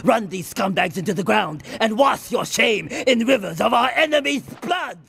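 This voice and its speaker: An actor playing a proud, contemptuous Yakuza crime boss in a game cutscene, speaking formally and with menace. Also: male voice, high voice, lively intonation, moderate pace, vocal fry, fairly bright tone, breathy edge, heavily acted. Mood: seething anger. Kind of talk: dramatic game cutscene acting.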